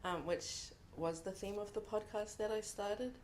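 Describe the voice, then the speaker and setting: A woman's voice: mid-range voice, fairly even intonation, a little mellow, slight background noise, low-quality recording. A young woman from New Zealand with a New Zealand accent, giving a reflective, earnest speech at a book launch. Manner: reflective and earnest, with frequent pauses. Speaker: contributor speaking at a book launch. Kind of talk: heartfelt speech to an audience. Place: event room at a book launch.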